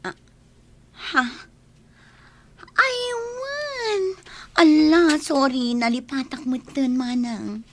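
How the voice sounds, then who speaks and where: A woman's voice: high-pitched, very slow, long pauses, a little hiss or hum, heavily acted. A woman playing a brash, evasive gambler who dodges her debts in an Ilocano radio comedy drama, dismissive, with an Ilocano accent. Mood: evasive coaxing.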